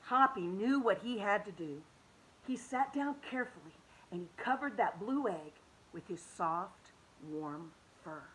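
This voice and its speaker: A woman reading a children's picture book aloud, expressive and animated, dropping to soft hushed tones. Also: medium pitch, a little slow, slight background noise, poor audio. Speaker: children's story reader.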